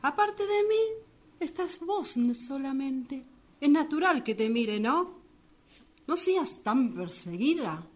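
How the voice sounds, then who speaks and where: A woman's voice: sits high, sing-song, slow, full character voice. A woman playing a domineering, short-tempered, violent woman in a radio drama, with an Argentine accent and a mocking, theatrical manner. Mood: needling, indignant. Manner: theatrical, mocking, erupting into threats.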